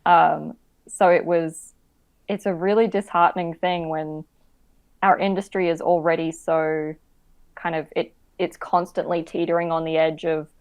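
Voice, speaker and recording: A woman's voice: lowish voice, flat delivery, measured pace, darkish tone, smooth. A woman from Queensland, an actor talking as a podcast guest, hesitant with many fillers. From Queensland, Australia. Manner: hesitant, many ums, laughs while talking. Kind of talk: casual interview.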